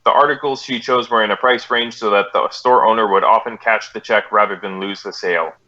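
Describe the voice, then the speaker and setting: A man's voice: lowish voice, steady tone, fairly quick, sharp tone, lo-fi sound. An American man playing a terse, businesslike police detective in a clipped, matter-of-fact 1950s radio drama style. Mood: flat, official. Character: terse, businesslike male police detective, narrating his case.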